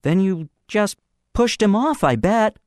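A timid younger schoolboy speaks huskily, with a hushed, conspiratorial eagerness, as a male narrator puts him on. His voice sits well above the reader's own. Dry, close recording. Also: high voice, expressive, slow, no room sound, pitched above the performer's own voice. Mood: eager. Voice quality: husky.

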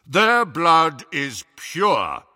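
A man voicing an intimidating wizard official with a powerful, booming, blustering authority, in an audiobook story. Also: huge pitch swings, drawn-out words, pushed and bright, no echo, clean studio sound, full character voice. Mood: blustering, commanding. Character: young hero disguised as big, commanding, intimidating wizard official.

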